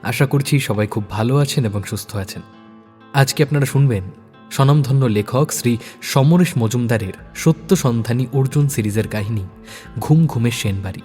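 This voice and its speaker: A man's voice: mid-range voice, normal speed, a little mellow, big-room sound, faint music behind. A man hosting and narrating an audio story in a scripted storytelling style. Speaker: story narrator and channel host.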